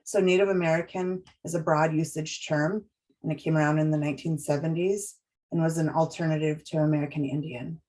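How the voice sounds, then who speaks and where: A woman presenting a training webinar, walking through slides in a measured, explanatory way with frequent pauses and restarts. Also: low-pitched, fairly even intonation, darkish tone, rough recording.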